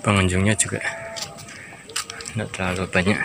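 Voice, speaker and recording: A man's voice: sits low, little pitch movement, moderate pace, gravelly, heavy background noise. A man narrating a walking tour to viewers in a casual, chatty way, filmed on a handheld phone outdoors. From Indonesia. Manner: chatty, addresses viewers as guys. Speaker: travel vlogger. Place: outdoors at a park by a reservoir. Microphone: handheld phone camera. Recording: loud outdoor ambience.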